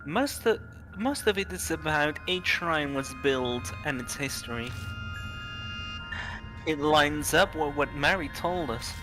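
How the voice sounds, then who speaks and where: A man reading visual novel dialogue and narration aloud on a livestream, halting and stumbling over some words. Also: on the high side, animated, over music, rough recording.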